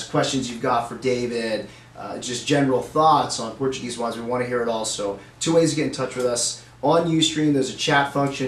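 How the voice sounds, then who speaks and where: A middle-aged man hosts a live webcast in an easy, upbeat manner, dropping fillers as he goes. His mid-pitched voice rings in a roomy space, with a faint hiss underneath. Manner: chatty, upbeat, many fillers. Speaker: host.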